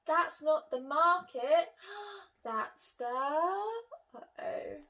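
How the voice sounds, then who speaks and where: Alarmed female picture-book character, put on by a woman reader, shrill-high, crying out drawn-out urgent warnings. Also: expressive, bright, forceful tone, exaggerated voice. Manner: exclaiming, drawn-out urgent calls.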